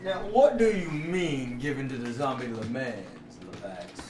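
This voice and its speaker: Man playing blustering minister, swooping pitch. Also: lively intonation, measured pace, darkish tone, natural voice. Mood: argumentative. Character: blustering, dismissive male human government minister. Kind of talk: staged reading of a comic sci-fi script. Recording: distant, echoey live room with faint noise.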